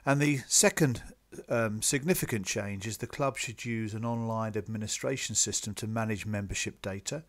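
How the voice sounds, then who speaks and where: A man presenting club business to members in a measured, businesslike, explanatory way, partly from a script. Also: fairly low pitch, fairly bright tone. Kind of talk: slide presentation, semi-scripted explanatory talk.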